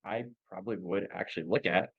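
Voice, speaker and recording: A man's voice: low voice, fairly quick, creaky, breathy edge, dull audio. A man hosting a conversational interview, reflecting appreciatively and summing up what he has heard. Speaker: interviewer, host.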